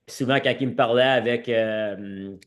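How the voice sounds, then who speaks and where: A man's voice: mid-range voice, steady tone, moderate pace, a bit bright. French Canadian man recounting his past. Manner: mixes English words into his French. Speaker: podcast guest, former hockey player. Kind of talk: conversational personal storytelling.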